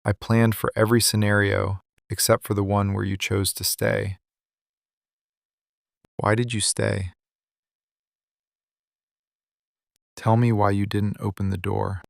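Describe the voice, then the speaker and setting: A man playing a possessive, menacing mafia boss in love, in an intimate boyfriend ASMR monologue, speaking in controlled, deliberate, intense short statements close to the mic. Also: lowish voice, little pitch movement, vocal fry, no room sound. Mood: vulnerable, pleading. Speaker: voice actor. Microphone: close studio mic, dry.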